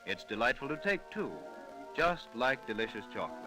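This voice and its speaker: A man announcing a scripted sponsor message on old-time radio, with emphatic, measured salesman phrasing. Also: mid-range voice, big pitch swings, music in the background, poor audio.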